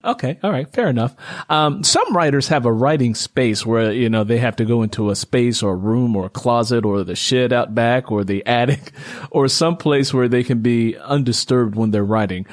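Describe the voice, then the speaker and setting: A man hosts a casual interview in a dry, close recording. His voice sits at an average male pitch, and he rambles conversationally, repeating phrases as he works toward each point. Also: normal speed, no room sound. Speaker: interview host, writer.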